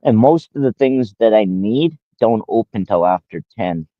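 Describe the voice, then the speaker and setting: A man's voice: lowish voice, lively intonation, moderate pace, no room sound. Man, 40s, rambling livestreamer who repeats himself; dead dry, muffled lo-fi sound. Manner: rambling, addresses a viewer by name, repeats himself. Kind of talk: casual livestream talk.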